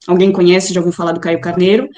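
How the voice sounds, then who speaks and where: A woman's voice: lowish voice, flat delivery, fast, warm tone, reverberant, slight background noise. A Brazilian woman with a Brazilian Portuguese accent, chatting as an interview guest over a video call.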